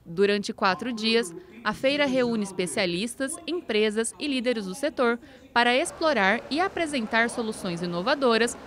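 A woman narrating a news report in a clear, even reading voice. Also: on the high side, moderate pace.

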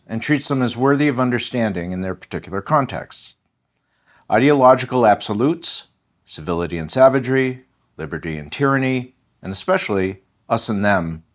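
A man with an American accent, a history professor speaking as an interview guest in a measured, articulate way. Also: mid-range voice, animated. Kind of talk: thoughtful interview talk.

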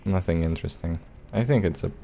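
A man's voice: deep voice, quick pace, creaky, dark tone, slight background noise. A man doing casual gameplay commentary with a hesitant, rambling, playful delivery. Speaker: let's play host.

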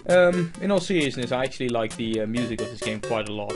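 Young Dutch-accented let's play host, rambling and self-deprecating, game music running underneath. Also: male voice, medium pitch, animated, quick pace. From Netherlands. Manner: rambling, self-mocking, many fillers. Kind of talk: casual game commentary. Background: video game music.

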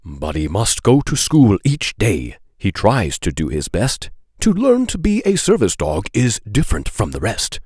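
Animated low-voiced man reading a storybook. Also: a little slow, slightly rough, no echo, studio quality. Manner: expressive, playful emphasis. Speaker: read-aloud host.

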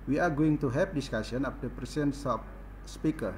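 A man's voice: medium pitch, moderate pace, slight background noise. A man moderating a webinar over a video call, introducing speakers in English with frequent hesitations and fillers. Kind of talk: formal event moderating.

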